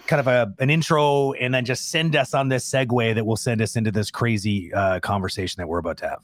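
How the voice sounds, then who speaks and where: A man's voice: medium pitch, fairly even intonation, fairly quick. A man hosting a casual interview with enthusiastic, loose phrasing. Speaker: host.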